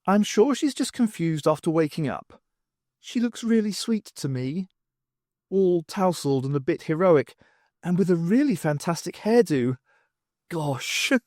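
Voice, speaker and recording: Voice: mid-range voice, lively intonation, measured pace, darkish tone, no room sound, studio quality, voice pushed up, natural voice. An audiobook narrator voicing a sweet, shy small female dwarf with a gushing, bashful manner.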